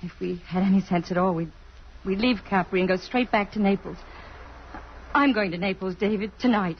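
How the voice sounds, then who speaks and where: A woman's voice: medium pitch, fairly even intonation, a little fast, natural voice. An actress in an old-time American radio drama, playing an earnest woman in love and torn by conscience. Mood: pained, tender. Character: earnest, lovestruck female concert musician, torn by conscience. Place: radio theatre stage. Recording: muffled, hissy vintage radio recording.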